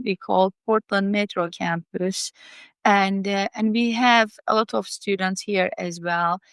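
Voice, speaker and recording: A woman's voice: mid-range voice, vocal fry, no echo. A woman in her fifties or older with a Turkish accent, answering interview questions as a college dean. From Turkey. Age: 50s or older.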